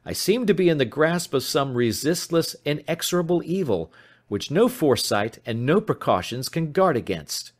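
A man voicing an anxious, despairing young gentleman in an audiobook, formal and earnest in manner. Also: mid-range voice, very animated, moderate pace, pitched above the performer's own voice, natural voice. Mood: helpless, fearful. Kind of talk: audiobook character voice.

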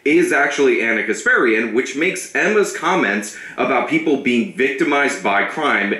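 A man hosting scripted political commentary, sharp and scornful as he argues. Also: medium pitch, lively intonation, moderate pace, reverberant. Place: small room with a brick wall. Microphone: studio mic on a stand.

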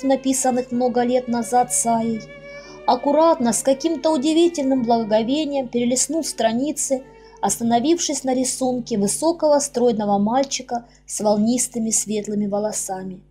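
A woman's voice: fairly high pitch, moderate pace, dark tone. A woman reading her own fairy-tale audiobook as a scripted narration. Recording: music under the voice. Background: background music.

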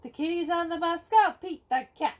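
A middle-aged woman reading a children's picture book aloud to kids at home, slowly singing the verses in a sing-song way. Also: extremely high pitch. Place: home living room, indoors.

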